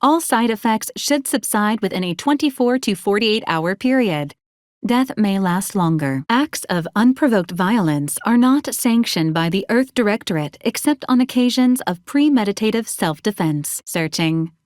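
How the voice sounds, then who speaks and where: A woman voicing a polite, literal robot assistant in a video game, with formal, clipped, deadpan delivery. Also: mid-range voice, normal speed, dry recording, studio quality, close to an everyday voice. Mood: deadpan, helpful. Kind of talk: scripted video game character lines.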